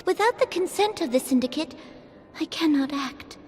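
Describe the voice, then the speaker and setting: An actress playing a gracious young sultana who speaks formally and gently. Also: female voice, sits high, expressive, moderate pace, long reverb tail. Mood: regretful. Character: young female sultana, gracious but regretful. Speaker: game character.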